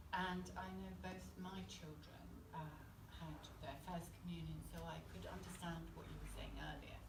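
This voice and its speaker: A middle-aged woman speaking on a panel, earnest and halting, with frequent fillers. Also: mid-range voice. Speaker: election candidate at a faith hustings. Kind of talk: unscripted panel speech. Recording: echoey hall, mic far off. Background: faint room noise.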